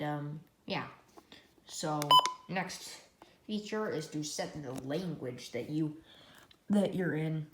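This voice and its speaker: An American woman giving a casual hands-on product demonstration, halting and often trailing off to restate herself. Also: low voice. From United States. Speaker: product reviewer. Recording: echoey room, loud noise, clicks.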